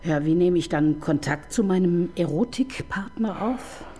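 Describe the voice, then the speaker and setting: A wry middle-aged detective. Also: female voice, on the low side, expressive, dark tone, a little hiss or hum. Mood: teasing, probing. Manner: ironic, needling, quick to tease. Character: wry, probing married woman police detective. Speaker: detective chief inspector. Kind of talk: radio crime drama acting.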